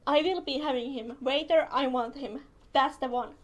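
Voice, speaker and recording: Female game streamer, high voice, casual gushing reactions, excitable and repetitive. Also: moderate pace. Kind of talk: casual reacting commentary over a video game.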